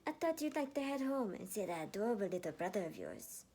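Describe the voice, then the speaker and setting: A woman voicing a mischievous teenage girl commander in a story, with a brisk, authoritative manner. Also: mid-range voice, sing-song, mellow, breathy edge, voice pushed up. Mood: teasing. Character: mischievous, commanding sixteen-year-old female warrior commander.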